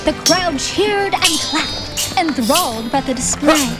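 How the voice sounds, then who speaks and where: A woman's voice: high voice, lively intonation, slow pace. A woman reading scripted, dramatic story narration as the narrator of an audiobook. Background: background music.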